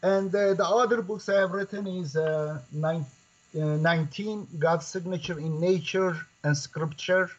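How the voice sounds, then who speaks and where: A man with a Kurdish accent speaking as a guest in a discussion, phrasing things in a halting, deliberate way. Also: sits high, fairly even intonation. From Turkey. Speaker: guest, scholar and author. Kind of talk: conversational discussion. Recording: dull lo-fi online call.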